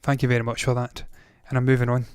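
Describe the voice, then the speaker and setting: A man's voice: mid-range voice, completely flat delivery, fast talker, smooth, dry recording. A man in his thirties hosting a movie news show, talking casually, with rambling opinionated asides. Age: 30s.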